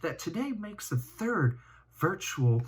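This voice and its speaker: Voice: medium pitch, very animated, takes it slowly, breathy edge. A student host giving a chatty, theatrical and giggly introduction to a showcase.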